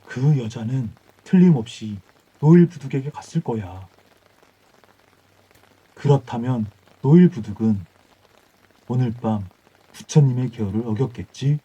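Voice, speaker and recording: A man's voice: mid-range voice, lively intonation, slow, pauses often, dark tone, breathy edge, rough recording. A man voicing a worldly male Buddhist monk in a folktale, with emphatic, old-fashioned dramatic speech. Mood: smug, teasing.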